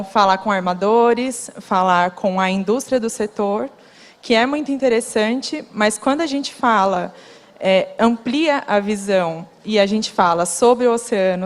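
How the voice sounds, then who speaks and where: A woman introducing herself on a conference panel in an earnest, grateful way. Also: mid-range voice. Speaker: shipping professional panelist. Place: conference hall. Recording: long hall reverb.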